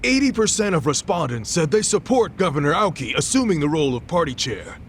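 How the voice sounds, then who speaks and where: An actor playing a polite, formal television interviewer in a video game's broadcast scene. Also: male voice, fairly high pitch, sing-song, brisk, a little hiss or hum. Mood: upbeat, formal. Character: brisk, deferential male television news interviewer. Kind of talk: broadcast presenting in a game cutscene.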